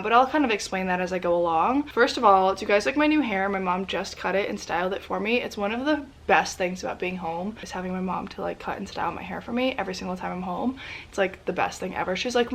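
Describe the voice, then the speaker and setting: A woman's voice: mid-range voice, gravelly, a bit bright. A young woman chatting in a home vlog, sniffly and congested, rambling in a bubbly, casual way. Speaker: lifestyle vlogger. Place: indoors at family home.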